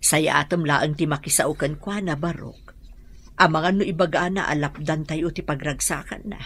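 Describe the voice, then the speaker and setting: A woman acting as a frank, protective older aunt in an Ilocano radio drama, with a husky, raspy voice and probing, emphatic delivery. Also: low voice, fairly even intonation, moderate pace, a bit bright, exaggerated voice. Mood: concerned, reasoning.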